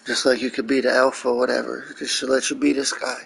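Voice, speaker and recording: A man's voice: medium pitch, flat delivery, fast, gravelly, fairly bright tone, slight background noise, poor audio. A man giving casual commentary on a video game.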